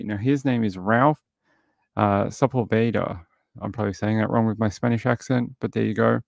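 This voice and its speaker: Middle-aged male streamer, dry close sound, gravelly, chatty recap host. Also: fairly low pitch, a little mellow, no echo. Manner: chatty, greets viewers, recaps and reads articles aloud. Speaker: livestream host reviewing a true crime show. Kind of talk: casual livestream commentary.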